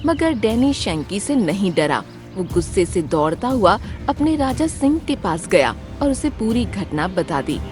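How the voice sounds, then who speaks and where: Female storyteller for children's cartoon, very animated intonation, music bed. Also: medium pitch, unhurried. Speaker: narrator. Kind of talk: scripted children's story narration.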